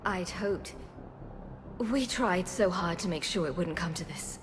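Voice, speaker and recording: An actress playing a former research scientist leading refugees, speaking in a measured, earnest way. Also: female voice, lowish voice, background noise, lightly acted. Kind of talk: video game voice acting.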